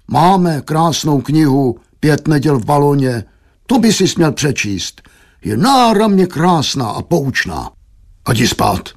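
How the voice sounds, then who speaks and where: A man voicing a grumpy, weary old shopkeeper father in an audiobook, gruff, growling and scolding. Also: fairly low pitch. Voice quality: grumbling, growling.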